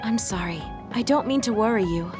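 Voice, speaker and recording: A woman voicing a gentle, caring young woman in a video game, speaking politely and softly. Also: mid-range voice, lively intonation, brisk, natural voice. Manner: polite and soft-spoken. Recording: music under the dialogue.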